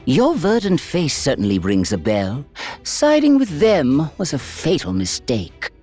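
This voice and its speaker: A man's voice: a little high, huge pitch swings, measured pace, darkish tone, soft background music, full character voice. A man playing a haughty, sadistic alien emperor in a video game, speaking with ornate, mocking politeness. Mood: smug, cold. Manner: theatrical, mocking, ornate wording. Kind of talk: video game villain acting.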